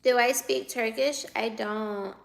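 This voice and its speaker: Young woman on a live stream reading chat, slow and gravelly, keeps giggling. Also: on the high side, steady tone. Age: 20s. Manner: giggly, teasing, answers viewer comments aloud.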